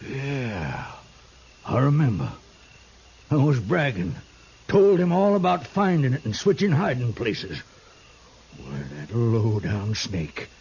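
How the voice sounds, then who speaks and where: A man playing a simple, rueful frontier hill man in a 1950s radio western, with a rough husky voice, halting folksy speech and a rural American accent. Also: mid-range voice, wildly swooping pitch, darkish tone, full character voice. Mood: rueful indignation. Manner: halting, drawn-out folksy talk. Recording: muffled 1950s radio broadcast.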